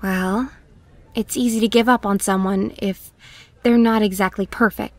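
A woman voicing a quick-tempered teenage girl who swings between flustered and sarcastic, in a comic dub. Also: mid-range voice, fairly even intonation, close to an everyday voice. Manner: flustered, then sharp and sarcastic. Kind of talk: fan comic dub acting.

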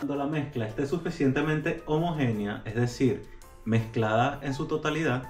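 A man's voice: mid-range voice, moderate pace. Man explaining a recipe step by step. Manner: instructive, walks viewers through steps. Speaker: cooking show host. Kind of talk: casual recipe demonstration. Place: home kitchen, indoors. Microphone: handheld action camera. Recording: music playing underneath. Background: background music.

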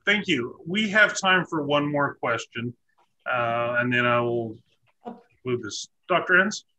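Bright-voiced man moderating a video call. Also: mid-range voice, expressive, unhurried, low-quality recording. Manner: courteous, with fillers and restarts. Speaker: school district moderator. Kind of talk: hosting a video-call town hall. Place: office with framed certificates.

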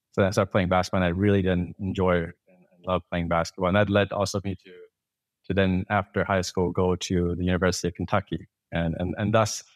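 A man's voice: low-pitched, dry recording. A man in his forties from Thailand, a podcast guest telling long stories in a rambling way, with many restarts and fillers. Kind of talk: casual interview storytelling.

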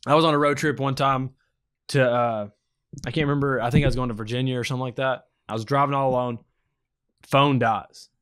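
A man's voice: mid-range voice, fairly even intonation, brisk, a bit bright, clear, resonant tone. Young man co-hosting, dry and joking. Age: 20s. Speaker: podcast co-host. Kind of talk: casual chat, friendly debate. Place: small room indoors. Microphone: podcast microphone on a stand, with headphones.

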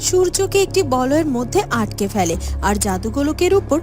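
A woman's voice: high-pitched, big pitch swings, brisk, darkish tone. A woman narrating a scripted fairytale cartoon. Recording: music behind the voice.

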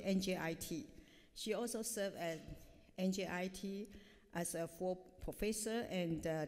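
A woman's voice: lowish voice, mellow. A middle-aged woman professor giving a formal introduction from notes at a podium mic in a large hall, with frequent hesitations. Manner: reads from notes with frequent uh fillers. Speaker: professor introducing a keynote speaker. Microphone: podium microphone. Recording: long hall reverb.

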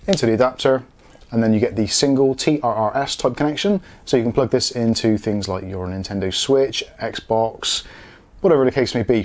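A British man in his forties presents a casual unboxing review. He talks quickly at a medium pitch, explaining things in a chatty, step-by-step way. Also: darkish tone. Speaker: host of a product review channel. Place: small home studio room, indoors.

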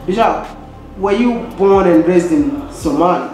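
A man's voice: high voice, lively intonation, unhurried, a little mellow. A young man with a Ghanaian accent, interviewing casually as the host of a video channel. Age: young adult. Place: indoor office lounge with glass walls. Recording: very echoey room, clear background noise.

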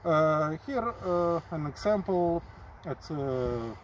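Man, Russian accent, 40s, halting broken English, higher voice, distant camera mic, faint field noise. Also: animated, highs cut off. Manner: halting, broken English, slow dramatic recitation. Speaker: performance artist. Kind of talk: deadpan comic monologue with recited poetry. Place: open field outdoors. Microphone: camera mic at a few meters.

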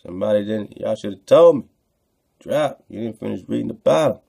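A man's voice: on the low side, expressive, fairly quick, creaky, warm tone, slightly rough. A man hosting online radio, commenting casually on texts he reads aloud, repeating phrases for emphasis and addressing listeners in slang.

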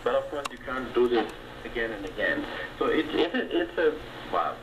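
A man giving measured, hesitant explanations in an unscripted broadcast discussion, heard through a shortwave radio speaker. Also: mid-range voice, big pitch swings, noisy background. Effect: played through a shortwave radio speaker. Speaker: radio commentator on tourism.

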